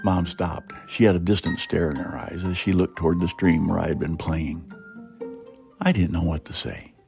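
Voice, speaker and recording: A man's voice: low voice, steady tone, normal speed, creaky, darkish tone, faint music behind. A man narrating a scripted short story as its author.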